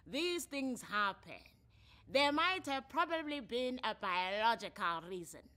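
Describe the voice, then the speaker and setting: A woman's voice: a little high, very animated, measured pace, bright, forceful tone, full character voice. A woman with a South African accent voicing an exasperated male friend who gives blunt advice in a story reading.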